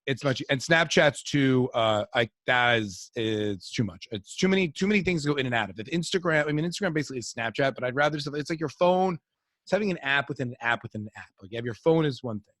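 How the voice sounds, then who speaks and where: A man's voice: mid-range voice, lively intonation, fast talker, a bit bright, no echo. Male co-host: mid-range voice, loose joking ramble, dry close mic. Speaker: podcast co-host. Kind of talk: casual podcast chat.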